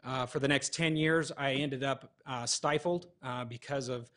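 A man in his thirties, a firefighter, speaking earnestly but haltingly at a podium mic in a council chamber. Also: mid-range voice, fairly even intonation, fairly bright tone. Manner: earnest, halting with many uhs. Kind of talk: public comment.

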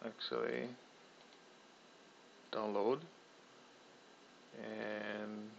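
Slow, gravelly man walking through software. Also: fairly low pitch, level tone, a little hiss or hum. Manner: rambling, thinking aloud with fillers and restarts. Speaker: tutorial host. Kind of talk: casual screen-recorded software tutorial. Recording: slightly dull lo-fi audio.

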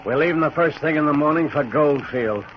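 An actor playing a ruthless, callous trapper in a 1940s radio drama, speaking in a hard, commanding way. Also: male voice, a little high, a little fast, natural voice. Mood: cold. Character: ruthless male trapper, cold and brutal. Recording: dull old radio broadcast with hiss.

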